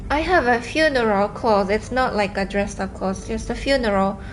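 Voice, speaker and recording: High-voiced woman with a Japanese accent. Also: lively intonation, faint music behind, poor audio. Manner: earnest, fast-talking, with halting restarts. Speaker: live streamer reading viewer chat. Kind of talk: casual live stream chat.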